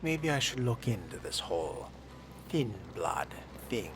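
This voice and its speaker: A man voicing an aloof, curt fledgling vampire in a measured, dry manner for a video game role-play. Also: mid-range voice, big pitch swings, music in the background.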